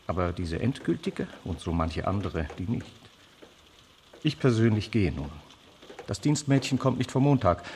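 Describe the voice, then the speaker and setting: An actor playing an urbane, cynical male opportunist in a radio play, sardonic and coldly detached. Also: on the low side. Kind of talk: German radio play monologue. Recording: clearly noisy background.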